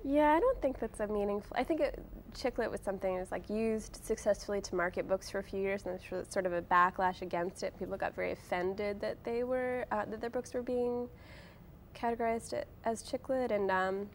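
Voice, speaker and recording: Young female author as interview guest, conversational and loose, gravelly fry in the voice. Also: medium pitch, fairly quick, slight background noise. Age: young adult. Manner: informal, hedging, peppered with "like" and "sort of".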